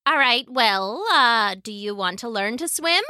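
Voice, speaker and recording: A woman's voice: on the high side, expressive, measured pace, bright, forceful tone, no echo, clean studio sound. A woman voicing a hearty retired female pirate sailor with a salty, exclamatory way of talking, in a storybook narration. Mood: exasperated.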